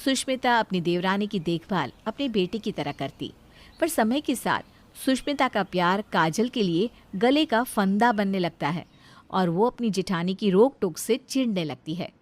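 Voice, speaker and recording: A woman's voice: mid-range voice, expressive, moderate pace. A woman reading a scripted moral family story as the storyteller.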